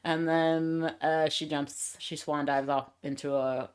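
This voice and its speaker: A woman's voice: deep voice, slow, smooth. A woman co-hosting a podcast, casually retelling a film's plot and acting out the characters' lines as she goes. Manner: retells scenes with lively quoted lines. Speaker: podcast co-host. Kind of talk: casual chat recapping a film.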